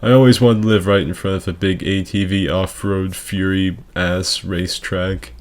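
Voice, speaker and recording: A man with a fairly low voice hosts gaming commentary in a casual, comedic register. His delivery is crude and sarcastic, full of exaggeration. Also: level tone, normal speed. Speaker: gaming video host.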